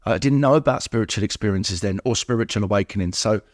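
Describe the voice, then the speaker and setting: A man's voice: on the low side, fast talker, no room sound, studio quality. A middle-aged man, speaking as a podcast guest and telling personal recovery stories, acting out what people said. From England.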